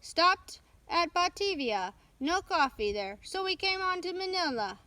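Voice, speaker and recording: A woman's voice: very high voice, sing-song, measured pace, bright, forceful tone, dry recording, voice pushed up, exaggerated voice. A woman voicing a proud, stern male ship's captain with an offhand, commanding manner in a storybook. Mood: breezily offhand. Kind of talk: children's storybook read-aloud.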